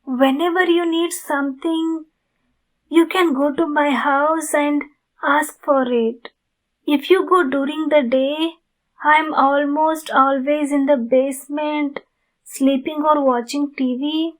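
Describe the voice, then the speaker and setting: A woman voicing a kind, polite vampire neighbor in an ASMR roleplay, gentle and apologetic in manner. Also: high-pitched, fairly even intonation, takes it slowly, low-quality recording. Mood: grateful, kind.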